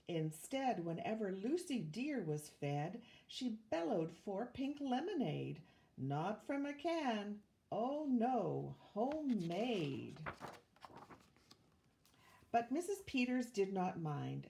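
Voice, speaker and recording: An older woman reading a rhyming children's picture book aloud with measured, expressive storytelling. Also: medium pitch, creaky. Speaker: volunteer storybook reader, retired teacher.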